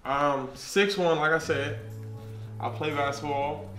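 A man's voice: fairly high pitch, slow, fairly bright tone, reverberant, faint music behind. A young man on a blind date, joking and defensive in casual banter.

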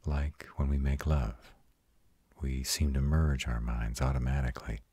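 A man voicing a tender, protective male vampire in an intimate, hushed audio roleplay, soothing and husky. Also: fairly even intonation, measured pace, no room sound, exaggerated voice. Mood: tender, concerned. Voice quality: very deep, husky, often breathy whispering. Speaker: voice actor.

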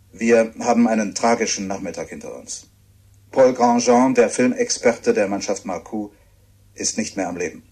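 A man playing a grave radio reporter in a radio play, speaking in a measured, solemn way with a husky voice. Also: on the low side, darkish tone.

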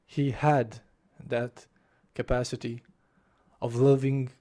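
Young man, unhurried, dark warm tone, home bedroom desk-mic book vlog. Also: mid-range voice, level tone. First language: Romanian. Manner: passionate, gestures with both hands, restarts phrases. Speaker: book reviewer. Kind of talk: casual spoken book review. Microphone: desk microphone in front of face, webcam framing.

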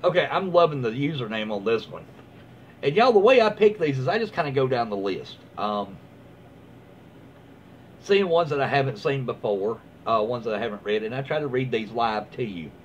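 A dull, noisy home recording of a man reading online stories aloud. His pitch moves around a lot as he slips between reading and easygoing, rambling commentary. He sounds folksy and casual, like a host chatting from his own room. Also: lively intonation, normal speed. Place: small room at home, indoors. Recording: dull lo-fi sound with clear background noise.

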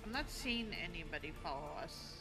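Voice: mid-range voice, expressive, brisk, poor audio. A streamer chatting casually with teammates during an online shooter match. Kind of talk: casual gaming chat. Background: game music.

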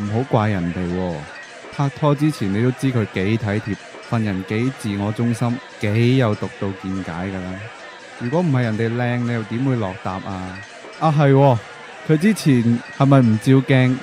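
A man's voice: medium pitch, moderate pace, lightly acted. A man playing a blunt, teasing young best friend in a radio drama. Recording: music and crowd chatter behind.

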